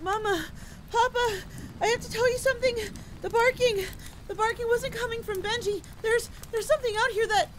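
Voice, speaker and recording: A woman's voice: high voice, normal speed, a bit bright, voice pushed up. A woman voicing an anxious young farm girl in an audio story, stammering and pleading breathlessly. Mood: frantic, shouting. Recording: clear background noise of rain and thunder.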